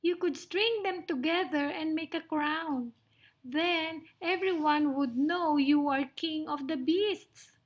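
A woman plays a tiny girl mouse from a storybook, very high and squeaky. The little creature is polite and earnest, speaking with an eager, pleading lilt. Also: takes it slowly. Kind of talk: children's storybook character voice.

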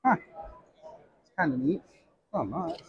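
A middle-aged man with a husky voice chatting casually as he shops for a vlog. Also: fairly high pitch, lively intonation, measured pace, lo-fi sound. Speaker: vlogger shopping at a swap meet. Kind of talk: casual chat. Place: large busy indoor swap meet hall.

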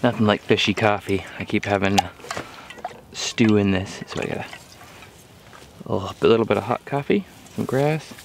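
A man's voice: lowish voice, moderate pace, gravelly, long pauses, a little hiss or hum. A man in his thirties narrating a survival vlog outdoors in the woods, with dry, joking humor. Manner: jokey, dry humor, chuckles at himself. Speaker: bushcraft vlogger. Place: outdoors in woodland camp. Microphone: camera mic outdoors.

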